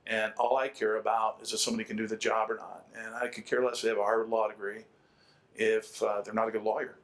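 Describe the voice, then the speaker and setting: A man's voice: fairly low pitch, level tone, quick pace, a bit bright. A man, likely middle-aged, speaking as a panelist, making an extended and opinionated argument and repeating key phrases for emphasis.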